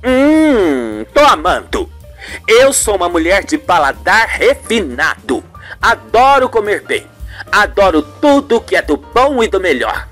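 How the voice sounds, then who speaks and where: A woman's voice: mid-range voice, very animated, slow, a bit bright, faint music behind. A woman playing a haughty, falsely sweet older mother-in-law in an amateur radio soap, gushing and affected. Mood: smug, sweet.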